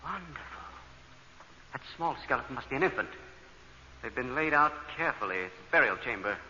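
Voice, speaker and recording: An actor playing an eager, obsessive scientist on a spaceship crew in an old-time radio drama, thinking aloud in halting, breathless bursts. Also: male voice, mid-range voice, expressive, bright, forceful tone. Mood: awed, absorbed. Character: excitable, obsessive male space-crew scientist, full of wonder. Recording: noisy, muffled old radio recording.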